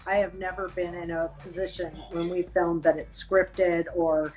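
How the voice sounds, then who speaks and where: A woman's voice: lowish voice, flat delivery, moderate pace, darkish tone, faint music behind, poor audio. A woman talking casually as a guest on a friend's livestream.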